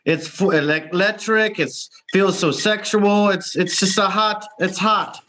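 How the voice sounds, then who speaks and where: A man's voice: sits high, steady tone, a little slow, sharp tone. A man doing a hammy put-on Italian accent that keeps slipping, improvising a comedy travel bit over a video call. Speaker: comedy correspondent.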